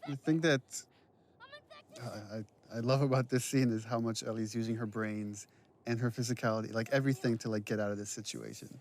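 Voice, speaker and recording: A man with a mid-range voice gives relaxed director's commentary on a game. He tells it like a storyteller, acting out quotes, with some faint noise behind him. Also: medium pitch, a little fast. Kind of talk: casual behind-the-scenes commentary.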